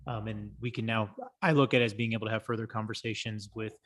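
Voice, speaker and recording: A city staff official speaking in a hedging, bureaucratic way at a council meeting in a council chamber, full of fillers. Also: male voice, fairly low pitch, fairly even intonation, fast, a bit bright. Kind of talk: measured bureaucratic remarks.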